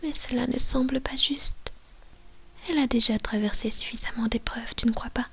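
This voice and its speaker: A woman's voice: fairly high pitch, fairly even intonation, fairly bright tone, exaggerated voice. Woman whispering as a seductive vampire mistress. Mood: coaxing whisper. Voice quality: breathy whisper. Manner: coaxing, slow and possessive. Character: seductive manipulative adult female vampire mistress. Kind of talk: ASMR roleplay. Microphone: binaural dummy-head mic.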